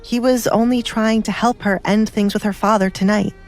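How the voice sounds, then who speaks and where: A woman's voice: medium pitch, fairly even intonation, moderate pace, soft background music. A woman reading a scripted dramatic story as an audiobook narrator.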